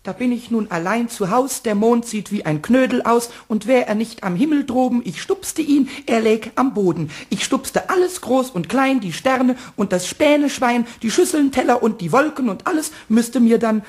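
An actor voicing a small, mischievous, childlike kobold with a squeaky, husky voice, reciting rhymes in a radio play. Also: male voice, lively intonation, normal speed, few pauses, a little mellow, exaggerated voice. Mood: wistful, playful.

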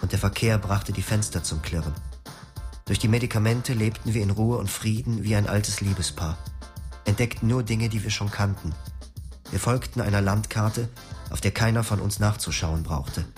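A man narrating his own story in a radio drama in a quiet, reflective way. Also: fairly low pitch, moderate pace, faint music behind. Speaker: first-person narrator.

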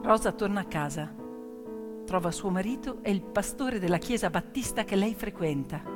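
Female stage narrator, mid-pitched voice, theatrical and emphatic delivery, piano accompaniment. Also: animated. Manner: emphatic, declamatory, with deliberate repetitions and pauses. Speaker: stage storyteller. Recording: piano music behind the voice.

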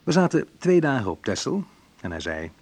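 An actor playing a reflective man in a naturalistic radio drama, talking in a conversational, hesitant, wry way with a husky voice. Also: medium pitch, sing-song, moderate pace, darkish tone. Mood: wry, nostalgic. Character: Menno, reflective man recalling a school friend. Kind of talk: naturalistic radio drama monologue and phone talk.

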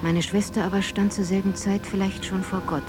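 An actress playing a grave, reflective narrator in a literary radio drama, speaking in a solemn, poetic and measured way. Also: female voice, mid-range voice, little pitch movement, music in the background, close to an everyday voice. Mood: wistful. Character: grave, reflective woman narrator remembering her childhood.

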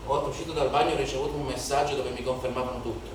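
A man's voice: mid-range voice, steady tone, racing, recorded from a distance, lightly acted. Actor as young male rioter, very echoey hall. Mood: hushed, secretive. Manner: incantatory, repeating phrases in lists. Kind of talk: theatrical stage monologue. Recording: echoey, noisy live theatre recording from afar.

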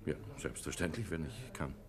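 A deep, methodical police inspector. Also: male voice, moderate pace. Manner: formal, courteous, probing. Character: methodical, polite police inspector, middle-aged. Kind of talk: 1950s radio play acting.

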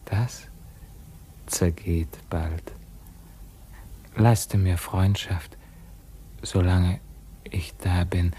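An actor in a German radio play, playing a feverish, haunted dying king with slow, declamatory delivery. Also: deep voice, steady tone, warm tone, slight background noise. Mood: fading, whispering. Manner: slow, declamatory, swinging from cries to faint murmurs.

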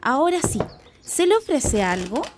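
A woman's voice: high-pitched, big pitch swings, a little slow, music in the background. An actress with a Chilean accent playing a worried working-class wife and mother, easily alarmed, in a radio drama. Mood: courteous. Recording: noisy recording with clicks.

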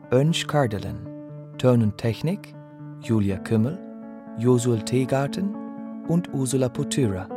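A male radio announcer reads scripted credits at an unhurried pace. His voice sits at a medium pitch, with prominent plucked guitar music underneath.

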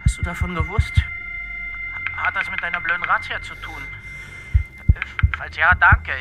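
Radio-drama husband: guilt-ridden, pleading, rambling, fairly high voice, loud music underneath. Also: male voice, unhurried. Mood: anxious, pleading. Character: anxious, guilt-ridden husband, a compromised doctor and boss.

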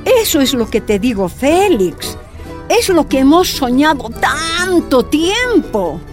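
An older woman who leads mining families, high-pitched and very expressive. She speaks slowly and with feeling, as in a radio drama. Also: faint music behind, full character voice. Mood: eager, resolute. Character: older Bolivian miners' leader, warm, resolute woman. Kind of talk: radio drama acting, first-person testimony.

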